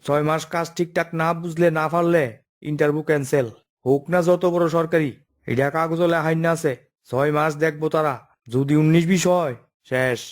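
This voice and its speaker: A man's voice: on the high side, fairly even intonation, moderate pace, natural voice. A man voicing a stern, by-the-book office boss in a comic cartoon, bossy and explanatory, with an eastern Bengali dialect. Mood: firm, warning. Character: stern, by-the-book male office boss holding job interviews.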